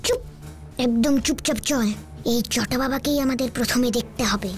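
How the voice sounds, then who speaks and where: A woman voicing a clever, bossy young ghost girl in a children's cartoon, in an expressive manner. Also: high voice, normal speed, close to an everyday voice. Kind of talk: cartoon voice acting.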